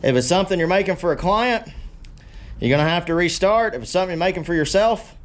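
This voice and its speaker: A man's voice: high-pitched, lively intonation, quick pace. A man casually talking viewers through hands-on shop work in an easygoing, self-deprecating way, addressing them as guys. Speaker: DIY metalworking video host. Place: cluttered sunlit forge workshop. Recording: clear power tool and workshop noise. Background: drill and tool noise.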